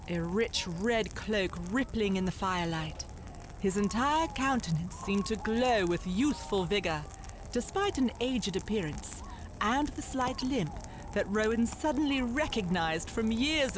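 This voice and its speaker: A woman giving measured, suspenseful storybook narration in an audio drama. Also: medium pitch, background noise. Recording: music behind the narration.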